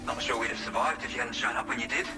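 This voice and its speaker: A man's voice: medium pitch, very fast, close to an everyday voice. A man playing a hearty, blunt, thankful outpost worker in a video game. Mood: amazed, grateful. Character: amazed, thankful, rough-spoken male outpost worker. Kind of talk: video game cutscene acting. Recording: loud music over the voice.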